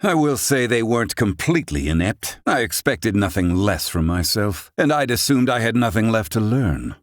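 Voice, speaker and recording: An actor playing a pompous, sardonic middle-aged male battle mage in a video game, with a raspy voice and archaic, condescending diction. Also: lowish voice, very animated, normal speed, dry recording, studio quality. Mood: cool disdain. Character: haughty, sardonic male battle mage, self-styled genius.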